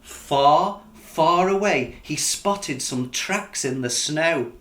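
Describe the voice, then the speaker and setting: Guy reading a kids picture book, slow n really animated, roomy echo on it. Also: fairly high pitch. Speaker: author reading own book. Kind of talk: expressive children's story narration.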